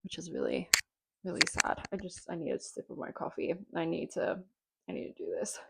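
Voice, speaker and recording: A woman's voice: fairly low pitch, fairly even intonation, brisk, vocal fry, husky, low-quality recording. A woman in her twenties hosting a casual solo podcast, chatty and rambling with lots of fillers, a bit nasal from being sick, recorded at home. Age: 20s. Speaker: podcast host.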